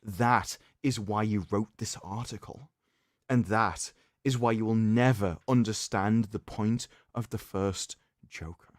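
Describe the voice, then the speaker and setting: A man's voice: on the low side, steady tone, measured pace, dry recording, studio quality. A man hosting a stream, giving a sarcastic, emphatic dramatic reading with mocking commentary. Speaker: streamer host.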